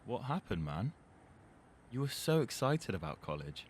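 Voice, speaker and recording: A man's voice: very animated, moderate pace, close to an everyday voice. A young man playing an earnest, troubled teenage lad burdened by growing up, reflective in manner, in an amateur audio drama. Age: young adult. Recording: noisy amateur recording, environmental rumble.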